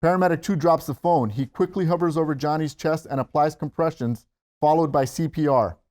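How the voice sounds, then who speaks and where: A man's voice: fairly high pitch, brisk, a little mellow. A man narrating screenplay stage directions at a table read.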